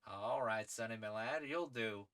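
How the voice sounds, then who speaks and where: A man voicing a hearty, daring aristocratic English gentleman adventurer from a storybook, with jaunty, slangy upper-class phrasing. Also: lowish voice, unhurried. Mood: jovial, confiding.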